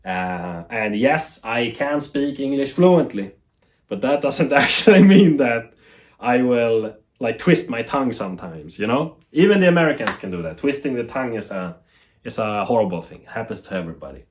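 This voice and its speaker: A man from Sweden with a Swedish accent, speaking English as a second language in a rambling, animated talk to camera as a TV tech YouTuber, laughing at himself. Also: medium pitch, moderate pace.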